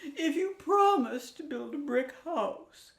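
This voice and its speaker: A woman putting on a fretful old mother sow, high quavery pleading, echoey room. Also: expressive, takes it slowly, gravelly, exaggerated voice. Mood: tearful.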